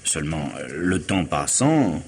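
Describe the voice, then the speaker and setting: A commanding, level-headed lawyer in a French radio mystery. His voice is deep and his phrasing measured and articulate, that of a firm, reassuring friend. There is faint noise on the old recording. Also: sing-song, a little mellow, heavily acted. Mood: explanatory.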